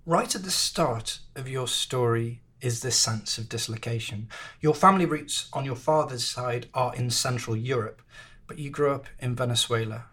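A man hosting a history podcast, interviewing in an articulate, measured, conversational way with literary phrasing. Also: medium pitch, fairly bright tone.

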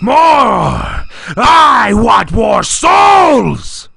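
A performer voicing a ravenous soul-eating monster in a fan audio drama, with a harsh, gravelly roar. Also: medium pitch, wildly swooping pitch, no echo, clean studio sound, heavily acted. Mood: ravenous fury. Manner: shouted, drawn-out roaring. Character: ravenous monstrous lion-tailed creature devouring souls.

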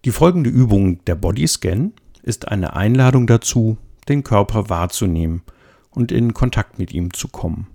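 A man calmly giving an introduction to a body scan meditation, speaking slowly and gently. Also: lowish voice, gravelly. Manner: slow, gentle, soothing. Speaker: meditation guide.

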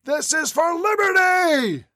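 A hulking male villain acted by a woman narrator, menacing and sneering. The delivery is slow and taunting, swinging widely in pitch, in a close, dry recording. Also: animated, no echo. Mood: triumphant. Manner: taunting, drawn-out threats.

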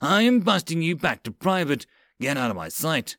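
A man voicing a stubborn, hot-headed male lieutenant in a military fantasy audiobook, shouting orders and accusations in a shrill, panicky way. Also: big pitch swings, fairly quick, no room sound, studio quality. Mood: furious.

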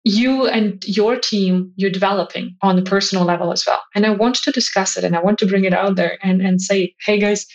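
A woman's voice: medium pitch, steady tone, brisk, few pauses. A woman hosting a conversational interview, explaining things in an earnest way as a leadership coach would. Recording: slightly dull, lo-fi audio.

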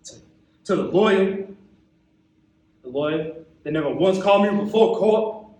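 A young man with an American accent performing a theatrical stage monologue as an embittered, wounded young man, impassioned and colloquial, with dramatic pauses. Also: high-pitched, expressive, darkish tone. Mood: indignant. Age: young adult. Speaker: actor in a monologue competition. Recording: echoey hall, recorded from far off.

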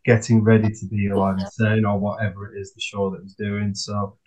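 A man's voice: fairly low pitch, little pitch movement, fairly quick, a little mellow, low-quality recording. A man in a casual music discussion, thinking aloud and often ending his points with tag questions. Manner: tentative, musing, often seeking agreement with tag questions. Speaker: podcast panellist.

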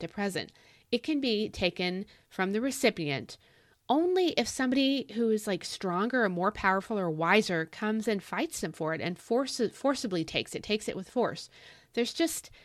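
A woman's voice: mid-range voice, dry recording, clean studio sound. A woman giving a casual, earnest Bible devotional in a conversational, emphatic way, her voice slightly scratchy as if starting to go. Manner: conversational, earnest, emphatic repetition of key words. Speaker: podcast host teaching the Bible.

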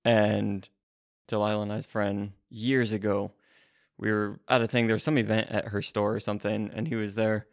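Male co-host with a gravelly edge, awkward joking storyteller, dry studio sound. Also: lowish voice, little pitch movement, normal speed, dry recording. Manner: awkward, self-mocking storyteller. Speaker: podcast co-host. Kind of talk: casual chat about the Bible. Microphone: close podcast microphone.

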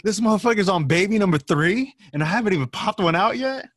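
A man's voice: high voice, expressive, fast talker, a bit bright, no room sound, studio quality. Casual, slangy man in his late twenties. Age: late 20s. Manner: slangy, informal, self-deprecating. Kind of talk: casual chat, swearing freely.

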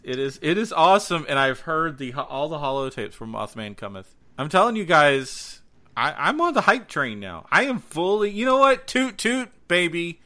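A man hosting a podcast, chatting casually about gaming news in an excitable, slangy way, repeating words for emphasis. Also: on the high side, normal speed. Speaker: podcast host and game streamer.

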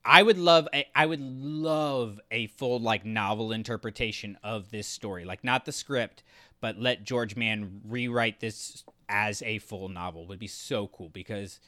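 A man's voice: fairly low pitch, a little slow, sharp tone, no room sound. A man co-hosting a podcast, giving enthusiastic, conversational opinions in a casual review discussion. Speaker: podcast co-host.

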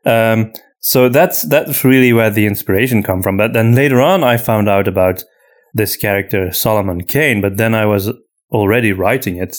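A man talking casually and unscripted as a podcast host, often halting and repeating himself as he searches for words. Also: fairly low pitch. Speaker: podcast host and story writer answering listener questions.

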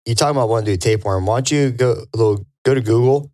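A man's voice: medium pitch, rapid-fire, a little mellow. A man co-hosting a casual podcast, teasing the others and laughing. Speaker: podcast co-host.

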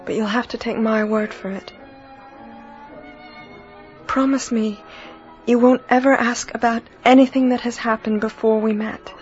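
An actress playing an earnest, gracious young bride in a radio drama, with a deliberate, heartfelt manner. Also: female voice, fairly high pitch, fairly even intonation, lightly acted. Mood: pained, earnest. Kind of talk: period radio drama acting. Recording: dull recording with music behind. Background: music.

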